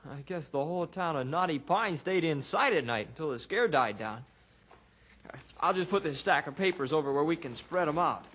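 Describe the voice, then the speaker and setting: A man's voice: on the high side, animated, moderate pace, fairly bright tone, a little hiss or hum. An actor playing an eager, curious young male sidekick in an old-time radio adventure, earnest and inquisitive.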